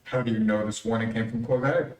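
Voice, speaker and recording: Grave vigilante for vintage radio drama, male actor: formal, deliberate, commanding, heard at a distance in a roomy space. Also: lowish voice, steady tone, darkish tone, natural voice. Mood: grave, resolute. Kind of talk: 1930s radio drama acting. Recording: roomy, distant-miked stage recording.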